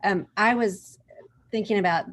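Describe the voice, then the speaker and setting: A woman's voice: mid-range voice, unhurried, fairly bright tone. A woman from Oklahoma taking part in a book discussion over a video call, thinking aloud with restarts and "um" fillers, and reading a passage aloud. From Oklahoma, USA. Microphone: computer mic on a video call.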